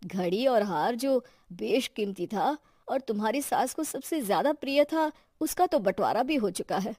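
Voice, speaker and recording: A woman voicing a gentle, generous old father-in-law in a cartoon, mild and reassuring. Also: a little high, lively intonation, moderate pace, no echo, studio quality.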